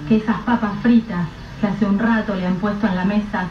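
A woman narrating a radio drama in a measured, grave storytelling manner. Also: mid-range voice, steady tone. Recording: roomy, dull, distant mic with soft music.

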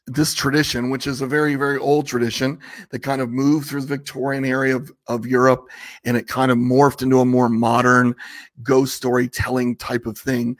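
A man with a slightly raspy voice hosting a casual livestream, rambling conversationally and asking viewers questions. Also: mid-range voice. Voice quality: a little raspy. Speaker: livestream host.

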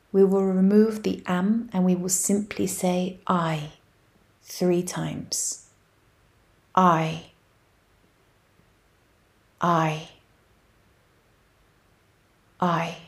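A woman guiding a yoga nidra meditation, speaking softly and evenly with long pauses. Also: mid-range voice, creaky. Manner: slow, soft and even, with long pauses. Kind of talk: guided meditation.

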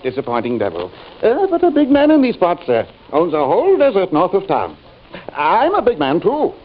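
High-voiced, pompous western townsman. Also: huge pitch swings, normal speed, heavily acted. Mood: pompous, musing. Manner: pompous, fluttery, precise. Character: fussy, pompous, self-satisfied town businessman. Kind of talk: old-time radio western acting. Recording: muffled, faintly noisy old radio recording.

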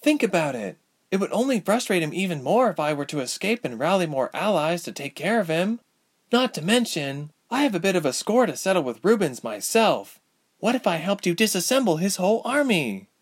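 A man voicing a frightened but defiant young woman with magic powers, in a high, strained voice. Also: very animated, brisk, breathy edge, exaggerated voice.

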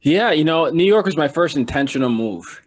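A man's voice: on the high side, expressive, moderate pace. A man from Nigeria, a podcast guest telling stories in a playful, joking way and laughing often. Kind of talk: casual storytelling interview.

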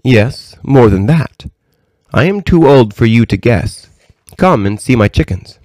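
A man voicing a gentle, very old grandmother. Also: mid-range voice, lively intonation, no echo, pitched above the performer's own voice, natural voice. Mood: kindly, amused. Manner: gentle, patient, measured.

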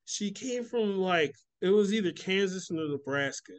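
A man's voice: high voice, lively intonation, normal speed. A man on a casual podcast, telling stories loosely with lots of swearing and acted-out quotes. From California. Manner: profane, storytelling with acted-out quotes. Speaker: podcast co-host. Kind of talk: casual chat.

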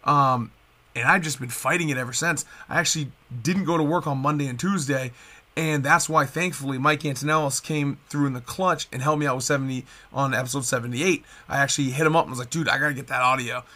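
Man, 30s, upbeat podcast host, congested and nasal, brisk bright tone. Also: mid-range voice, steady tone. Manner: upbeat, chatty, rambling with restarts. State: stuffed-up nasal voice from a cold. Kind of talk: casual solo podcast chat.

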